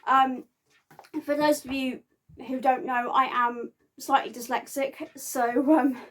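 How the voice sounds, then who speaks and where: A woman speaks in a high voice at a slow, unhurried pace. She chats informally to her viewers like a vlogger, with a halting delivery that now and then stumbles over a word. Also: flat delivery. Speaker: vlogger reviewing a theatre visit. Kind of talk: casual unscripted chat to viewers.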